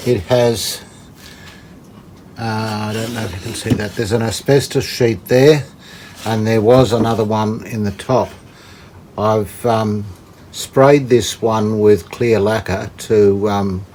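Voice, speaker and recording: A man giving casual hands-on commentary as a hobby restorer, thinking aloud in a tentative, halting way. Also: on the low side, darkish tone. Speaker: host, hobbyist radio restorer. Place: indoors, hobby workshop. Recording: clear background noise.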